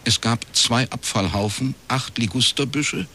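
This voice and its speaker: A man's voice: mid-range voice, measured pace, pushed and bright, a little hiss or hum. An actor in his forties playing a wry, world-weary East German private detective in a radio crime drama, talking in a laconic, ironic way. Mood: dry, matter-of-fact. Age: 40s. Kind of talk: scripted radio crime drama narration and dialogue.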